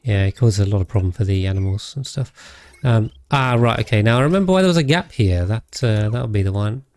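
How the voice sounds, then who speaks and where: A British man streams a game in a fairly low, calm voice. He talks casually to his viewers and thinks aloud as he goes, measured and unhurried. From Britain. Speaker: live streamer, host. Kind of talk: casual game commentary while chatting with viewers.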